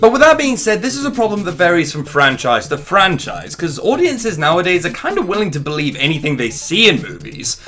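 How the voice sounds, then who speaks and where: A man's voice: high voice, wildly swooping pitch, fairly quick, few pauses, sharp tone. A young man presents a scripted review. He is emphatic and opinionated, with a wry edge, and speaks over faint background music. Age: young adult. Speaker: video essay host and reviewer. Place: small room indoors.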